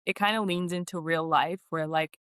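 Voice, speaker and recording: A woman's voice: deep voice, fairly even intonation, moderate pace, a bit bright, clear, resonant tone, dry recording, studio quality. A woman speaking as an actress guest in a casual podcast interview, often saying "like" and quoting her own reactions.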